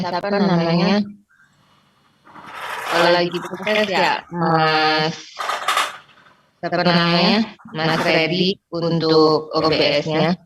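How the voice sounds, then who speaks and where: A woman with an Indonesian accent casually coordinating technical setup, haltingly searching for words. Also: on the low side, distant mic, slight background noise, low-quality recording. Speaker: event organiser.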